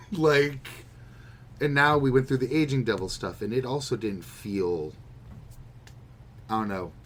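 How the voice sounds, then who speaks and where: A man's voice: medium pitch, unhurried, long pauses, a little hiss or hum. A man co-hosting a podcast, chatting casually and musing with plenty of swearing. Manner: opinionated, musing, swears freely. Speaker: podcast co-host. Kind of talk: casual chat about manga.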